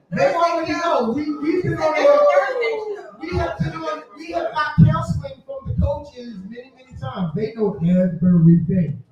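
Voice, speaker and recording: A man in his forties with a strikingly high voice tells stories in a boisterous, casual way, repeating phrases for effect. He sounds far from the mic in a very echoey room. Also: highs cut off. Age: 40s. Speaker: podcaster telling their story at a live event. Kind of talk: casual live storytelling to an audience.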